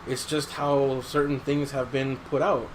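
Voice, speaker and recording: A man's voice: mid-range voice, level tone, a little slow, slight background noise, low-quality recording. A man hosting a podcast in casual chat, swearing freely and giving his opinions in slangy talk. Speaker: podcast host.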